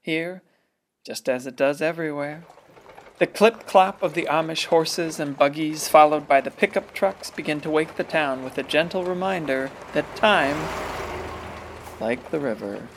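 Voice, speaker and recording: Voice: medium pitch, a little slow, a little hiss or hum, close to an everyday voice, low-quality recording. An actor narrating a radio play as a folksy, wistful small-town storyteller. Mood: wistful, lyrical.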